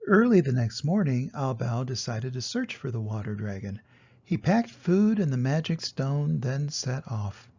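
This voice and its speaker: A man's voice: mid-range voice, big pitch swings, unhurried, darkish tone, lo-fi sound, slight background noise. An older man reading a children's storybook aloud as a scripted narration.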